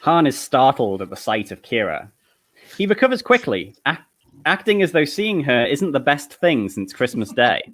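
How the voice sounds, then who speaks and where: A man's voice: medium pitch, big pitch swings, normal speed, a bit bright. A man reading screenplay stage directions as narrator of a comedy table read, with an amused manner.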